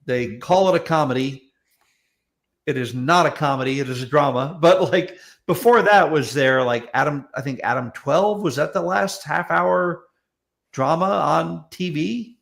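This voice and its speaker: A man with a fairly high, creaky voice talks as a panel guest. His delivery is casual and comic, halting and full of repeated words and false starts. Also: a bit bright. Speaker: guest on a talk show. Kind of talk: casual comedic panel chat.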